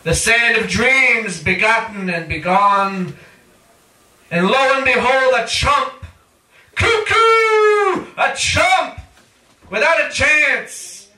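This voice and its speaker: A man reading his own poetry aloud in a theatrical spoken-word style, with dramatic chanting, drawn-out phrases and cries. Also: extremely high pitch, animated, pushed and bright. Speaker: poet reading own work. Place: indoor live reading at a cafe. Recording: distant mic in echoey room.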